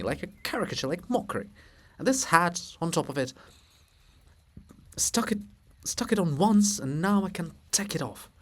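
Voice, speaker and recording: Seeking a male reader for a bombastic monument of a bearded historian in a stage farce: scornful, emphatic, very animated pitch. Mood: grumbling.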